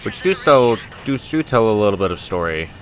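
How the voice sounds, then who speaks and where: Man, low voice, very varied pitch, casual gameplay commentary, wry grumbling, loud game music behind. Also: very animated, fairly quick. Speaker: gamer recording a playthrough. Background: loud game music and aircraft sounds.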